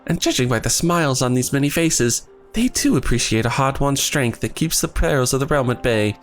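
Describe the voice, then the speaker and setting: A man reading the lines of an earnest young male scholar in his own voice, with formal, archaic phrasing and a voice that is going hoarse. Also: medium pitch, animated, fairly quick, soft background music, lightly acted. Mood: solemn. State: losing his voice.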